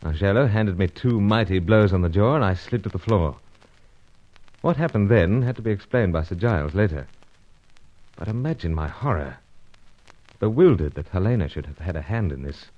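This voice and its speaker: An actor playing a British secret agent hero with a British accent, speaking in a clipped, understated way in an old radio drama. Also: male voice, deep voice, expressive, moderate pace. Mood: narrating. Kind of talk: 1950s radio drama acting. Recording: dull lo-fi old radio recording.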